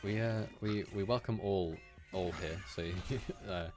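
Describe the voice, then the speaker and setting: A young man from Wales with a general British accent and a low, deep voice. He keeps up a chatty, relaxed gameplay commentary, laughing often as he talks to his viewers from a room at home. Faint game music sits quietly behind him. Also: slow pace. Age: young adult. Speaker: game streamer.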